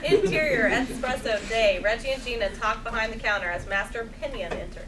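A woman's voice: on the high side, brisk. A woman reading out stage directions as scripted narration at a table read. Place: small theatre stage, seated before an audience. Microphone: far camera. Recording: noisy stage recording from far off.

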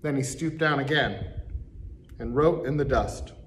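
A middle-aged man, a pastor, reading scripture aloud in a church sanctuary, slowly and deliberately with emphatic pauses. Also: mid-range voice, slight background noise.

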